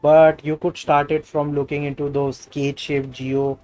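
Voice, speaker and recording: A man's voice: a little high, flat delivery, moderate pace, darkish tone, rough recording. A man with an Indian accent, a native Hindi speaker, doing a casual gaming livestream and reading game dialogue aloud. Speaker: game streamer.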